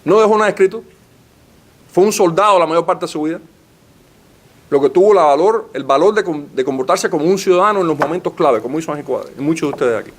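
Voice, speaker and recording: A man with a slightly raised pitch delivers a formal lecture. He asks rhetorical questions and stacks up lists to press his argument. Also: fast talker, slight background noise. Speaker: panelist giving a talk. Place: library meeting room.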